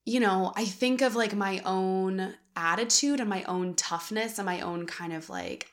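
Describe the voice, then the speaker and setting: A woman's voice: mid-range voice, measured pace. A young adult woman co-hosting a casual podcast chat, talking candidly with lots of fillers. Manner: candid, animated, many fillers. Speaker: podcast co-host.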